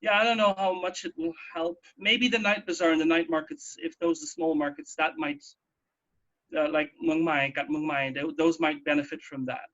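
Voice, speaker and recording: A man's voice: fairly high pitch, fairly even intonation, moderate pace, a bit bright. A man co-hosting a radio show from home in a casual, chatty, explanatory way, often checking in with a "right" or "yeah". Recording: dull, lo-fi home recording.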